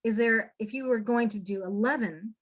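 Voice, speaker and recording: A woman's voice: a little high, normal speed. A middle-aged woman hosting a casual interview, enthusiastic and encouraging. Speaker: interview host.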